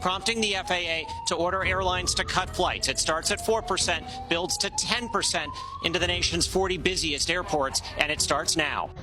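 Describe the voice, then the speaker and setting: A man's voice: high voice, animated, normal speed, few pauses, music in the background, poor audio. A man reporting for broadcast news in emphatic, clipped phrases. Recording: clear background noise on location.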